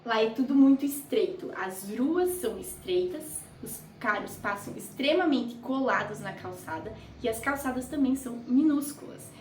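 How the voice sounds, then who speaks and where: A woman's voice: on the high side, expressive, normal speed, few pauses, a little mellow, far from the mic, a little hiss or hum. A young woman from Brazil, chatting casually in a travel vlog with jokey asides. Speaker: vlogger. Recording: roomy echo, off-mic.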